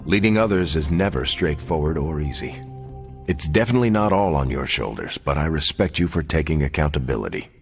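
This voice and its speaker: Deep earnest soldier superhero from a game, steady and encouraging, bit of music behind. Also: male voice, creaky, heavily acted. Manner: sincere, measured, encouraging. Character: earnest, upright veteran soldier superhero and team leader. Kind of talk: video game cutscene acting.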